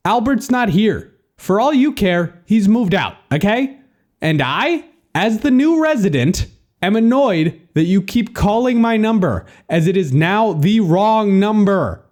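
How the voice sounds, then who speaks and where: A man's voice: high voice, very animated, measured pace, darkish tone, pitched above the performer's own voice. A man voicing a brash, foul-mouthed hero in an audiobook, blunt, sarcastic and insistent. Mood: annoyed, mocking. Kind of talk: audiobook character dialogue.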